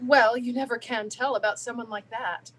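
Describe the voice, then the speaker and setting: A woman's voice: a little high, fairly even intonation, moderate pace, a bit bright, low-quality recording. A woman playing a watchful, nosy suburban housewife in a staged play reading. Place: video call.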